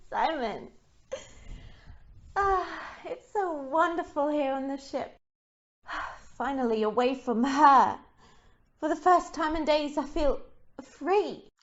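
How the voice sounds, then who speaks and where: A woman's voice: high-pitched, expressive, slow pace, pauses often. A woman acting an anxious, fragile, pleading young newlywed in an audio play. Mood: relieved. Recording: dull, muffled lo-fi.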